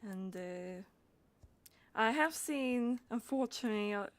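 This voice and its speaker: A woman's voice: a little high, expressive, a little slow, no echo. A woman streamer chatting casually in a hesitant, rambling, giggly way. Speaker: live streamer. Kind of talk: casual livestream chat.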